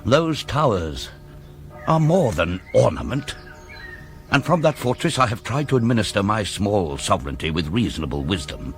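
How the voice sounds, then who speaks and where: A British man playing a shrewd, proud city governor in a radio drama, with a forceful, rhetorical delivery. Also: fairly low pitch, sing-song, moderate pace, soft background music. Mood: proud, reflective.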